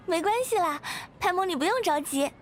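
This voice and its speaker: A woman voicing a gentle, modest and friendly young woman in an anime game, speaking politely and earnestly. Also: very high-pitched, background noise, heavily acted. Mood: warm, reassuring. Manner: polite, soft-hearted, earnest.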